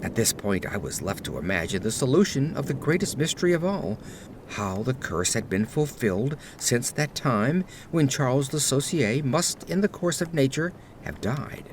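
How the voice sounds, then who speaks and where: Man playing a haunted, highly expressive gothic narrator. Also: normal speed, slight background noise. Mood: ominous, intense. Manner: formal, theatrical, expressive delivery. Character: haunted aristocratic man recounting terror in grave, ornate words. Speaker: narrator of an audio drama. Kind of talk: dramatic gothic horror storytelling.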